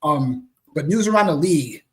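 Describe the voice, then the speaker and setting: A young man with a raspy voice, co-hosting a casual sports chat in a hyped, slangy way. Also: fairly high pitch, expressive, fairly bright tone. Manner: hyped, slangy, quick asides. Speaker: co-host.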